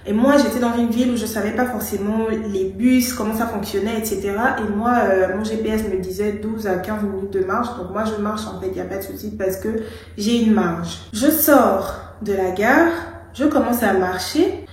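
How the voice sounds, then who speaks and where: A woman's voice: mid-range voice, moderate pace, darkish tone. Young woman from Gabon telling a storytime, chatty and full of fillers, in a very echoey room. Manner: chatty, with fillers and some English words. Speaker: vlogger. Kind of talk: casual storytime.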